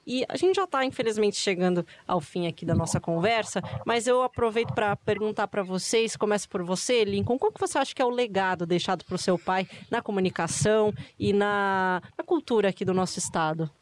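Woman hosting a radio-studio podcast. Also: mid-range voice. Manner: measured, wraps up with thanks and sign-off. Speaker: podcast host. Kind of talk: interview podcast.

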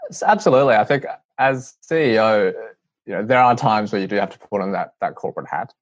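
An earnest young man with an Australian accent speaks in a low, measured voice, often restarting his sentences. The audio is dry and a little muffled. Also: lively intonation, no room sound. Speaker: guest, CEO of a youth-led charity. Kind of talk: interview answers.

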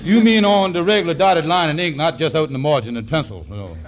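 An actor playing a sly, blustering, conniving friend in a 1940s radio comedy, talking in a folksy way, hesitant and then emphatic. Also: male voice, on the high side, expressive, fast talker, some chatter behind, close to an everyday voice. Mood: sly, amused. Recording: noisy old 1940s radio recording.

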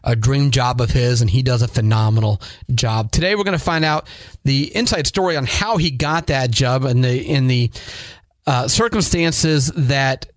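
A man's voice: medium pitch, moderate pace, no echo. A man in his forties from Northeast Ohio with an American accent, talking conversationally as a podcast host. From Northeast Ohio, United States. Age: 40s. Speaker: podcast host, high school sports broadcaster. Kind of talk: conversational podcast introduction and interview.

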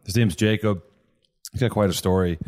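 Male podcast host, lowish voice, loose rambling delivery, trips over restarts. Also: fairly even intonation, brisk, darkish tone. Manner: rambling, with many restarts and repeated words. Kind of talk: casual podcast chat.

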